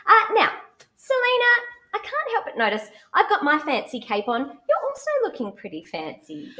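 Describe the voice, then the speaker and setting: An Australian woman hosts a live show for children in a high, bright voice. Her delivery swings widely in pitch and is full of exclamation as she addresses young viewers. The sound is roomy and echoey, like a live stream. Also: wildly swooping pitch, normal speed.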